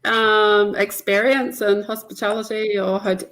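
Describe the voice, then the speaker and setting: A woman hosting a casual interview over a video call, friendly and quick to laugh along. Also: medium pitch, level tone, a bit bright, low-quality recording. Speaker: interviewer, host.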